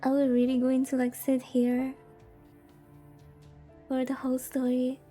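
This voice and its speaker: A woman's voice: high-pitched, monotone, unhurried, dark tone. A woman streamer chatting casually while playing a visual novel, rambling and playfully thinking aloud over game music. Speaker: VTuber streamer.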